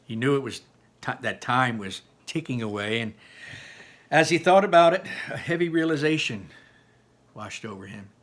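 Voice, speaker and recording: A man reading a children's story aloud in an expressive, animated way with dramatic pauses. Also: mid-range voice. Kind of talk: animated children's book narration.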